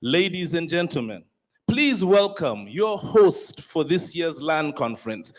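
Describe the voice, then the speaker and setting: High-voiced Ugandan emcee rousing a crowd. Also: male voice, unhurried. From northern Uganda. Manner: energetic, crowd-rousing, switches into French. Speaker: conference master of ceremonies. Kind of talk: lively emcee hosting a conference. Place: large conference hall.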